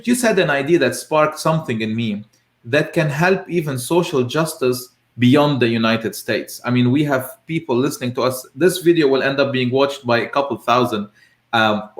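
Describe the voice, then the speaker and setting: A man's voice: medium pitch, moderate pace. Echoey man hosting a discussion. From Lebanon. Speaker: host of a live discussion show. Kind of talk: informal interview discussion. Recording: roomy, echoey sound.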